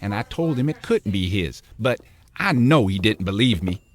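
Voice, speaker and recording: An actor playing an anxious, hot-tempered husband in an audio soap opera, earnest and intense. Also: male voice, fairly low pitch, animated, moderate pace, slight background noise, lightly acted. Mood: distressed. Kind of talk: soap-opera radio acting.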